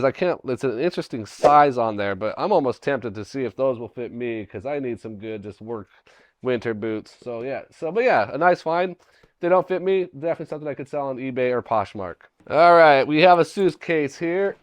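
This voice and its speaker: A middle-aged man with a hoarse voice after a cold, hosting a casual unboxing vlog and rambling aloud as he digs through a storage locker, recorded on a chest-mounted camera in a dim indoor room. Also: mid-range voice, no room sound. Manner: rambling, thinking aloud while digging through items. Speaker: storage locker reseller, channel host.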